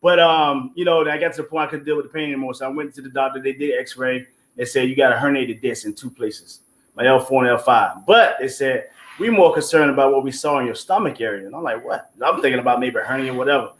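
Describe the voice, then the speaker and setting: A man's voice: mid-range voice, quick pace. A man, a stage play producer appearing as a guest, giving an informal, emphatic, faith-filled testimony. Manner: informal, emphatic, devout, punctuated with 'you know what I'm saying'. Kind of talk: testimonial talk on an online show.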